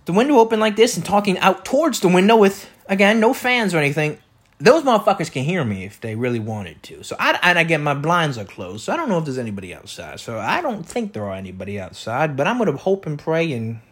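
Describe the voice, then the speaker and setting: A man with a loud, projecting voice rambling through a comedic solo monologue as a podcast host, repeating himself and tossing out rhetorical questions. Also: fairly high pitch, very animated, quick pace, slightly rough. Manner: repetitive, riffing with catchphrases and rhetorical questions. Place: indoor room beside an open window.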